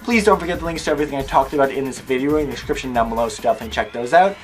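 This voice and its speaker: A man's voice: mid-range voice, racing, soft background music. A man presenting daily tech news in an upbeat, casual, enthusiastic style, speaking directly to viewers as the show's host. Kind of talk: upbeat scripted tech news delivery to camera.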